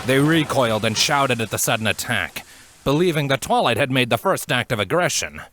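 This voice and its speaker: A man's voice: medium pitch, animated, brisk, a bit bright, a little hiss or hum. A man reading a fantasy story aloud as a dramatic scripted narration. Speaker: fan fiction narrator.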